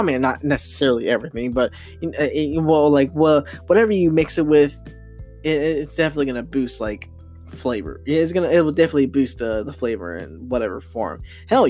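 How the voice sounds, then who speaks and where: A young man hosting a solo podcast, rambling excitably with casual slang and frequent stumbles and restarts. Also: medium pitch. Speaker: podcast host.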